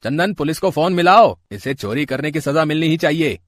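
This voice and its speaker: A man voicing a stern, suspicious shop owner in an animated moral story, commanding and quick to scold. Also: a little high. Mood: angry, accusing.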